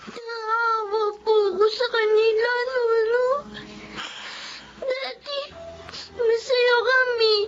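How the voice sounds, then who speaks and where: A woman's voice: very high-pitched, little pitch movement, slow, pauses often. A woman playing a sick, sorrowful young girl in a Filipino radio drama, polite and plaintive, with a Filipino accent. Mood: tearful. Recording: dull lo-fi audio under loud music.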